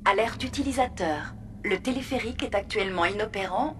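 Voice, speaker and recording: A woman voicing a calm, polite artificial intelligence in a video game, speaking in measured, procedural announcements. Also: medium pitch, noisy background, natural voice. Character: calm, formal female artificial intelligence of a research complex.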